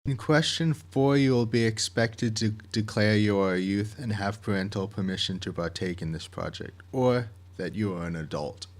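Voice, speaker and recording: A man with a fairly low voice delivers scripted instructional narration. He goes through each step methodically, with measured, plain wording, as someone directing a project would.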